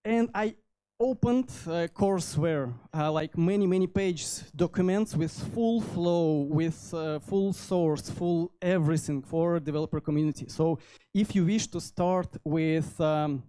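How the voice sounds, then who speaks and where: Hesitant Russian-accented man, mellow voice. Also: a little high. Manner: halting delivery with frequent hesitations. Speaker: conference speaker, software developer. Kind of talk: informal technical presentation. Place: indoor meetup venue. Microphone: handheld microphone.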